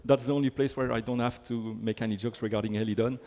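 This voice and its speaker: A man's voice: medium pitch, fairly even intonation, fast, a little mellow, a little hiss or hum. A man from Belgium with a French accent, giving a chatty technical conference talk full of fillers and restarts. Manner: chatty, self-deprecating, frequent fillers and restarts. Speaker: conference speaker. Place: large conference hall. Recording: hall with long reverb.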